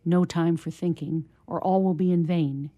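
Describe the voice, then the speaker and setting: A woman guest reading a short story aloud in a measured narrative style. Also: on the low side, fairly even intonation, dark tone. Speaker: guest reader.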